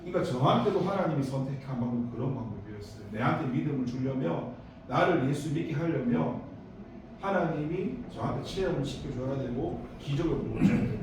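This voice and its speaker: Man, Daegu accent, sermon, animated storytelling, big echoey church hall, a bit off-mic. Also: on the high side, recorded from a distance, a little hiss or hum. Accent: Daegu Korean. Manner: animated storytelling with bursts of emphasis and rambling asides. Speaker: pastor. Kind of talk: youth service sermon. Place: church sanctuary.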